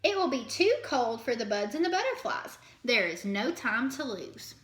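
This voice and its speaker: Woman voicing an excitable, high-pitched young fox. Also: normal speed, echoey room, slight background noise. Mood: worried wonder. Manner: breathless, urgent exclaiming. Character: young male fox, excitable, panicky and self-important.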